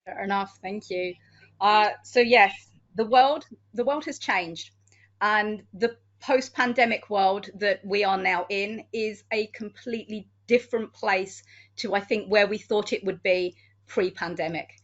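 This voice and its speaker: Woman, 50s, from the UK, mid-pitched, wry, conversational, muffled call sound. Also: measured pace, a bit bright. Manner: wry, conversational, light humour. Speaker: security manager and panelist. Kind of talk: panel webinar discussion.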